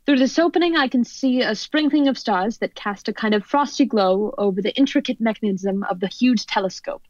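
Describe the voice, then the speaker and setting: A woman acts out a poised female news commentator from an old-time radio play. Her voice sits fairly high and her delivery is formal and measured. The sound is dry and somewhat dull. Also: no room sound, lightly acted. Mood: formal, composed. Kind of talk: old-time radio drama interview and reporting. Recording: dull lo-fi audio.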